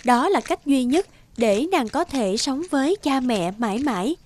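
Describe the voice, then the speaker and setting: A woman narrating a scripted fairy tale for children in a measured storytelling manner. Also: sits high, lively intonation, darkish tone.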